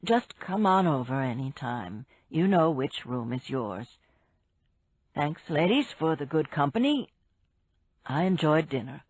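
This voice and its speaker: A woman's voice: low voice, big pitch swings, measured pace, darkish tone, husky, dry recording, pitched below the performer's own voice, heavily acted. A woman reading a polite, upset man who speaks in a subdued way. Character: upset middle-aged man leaving early. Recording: dull, dry lo-fi recording.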